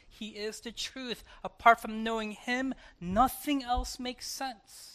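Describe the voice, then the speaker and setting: Forceful preacher swinging between hushed and loud. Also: medium pitch, smooth. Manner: rhetorical questions and repetition for emphasis. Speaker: pastor. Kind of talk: sermon.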